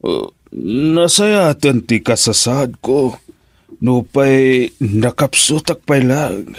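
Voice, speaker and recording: An actor with a Filipino accent playing a weakened middle-aged father in a radio drama, weary and husky. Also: mid-range voice, animated, slow, no echo, studio quality. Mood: weary, familiar.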